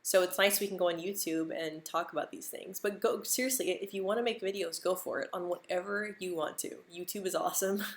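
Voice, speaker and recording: A woman's voice: fairly low pitch, fairly even intonation, brisk, few pauses. A young American woman who stutters, repeating words and sounds, chatting casually on a live stream and laughing easily. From United States.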